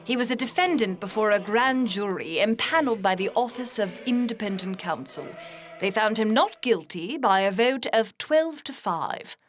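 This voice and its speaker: A woman's voice: medium pitch, animated, lightly acted. A woman playing a composed, formal 1960s radio news anchor in a scripted drama. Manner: measured, formal newsreading.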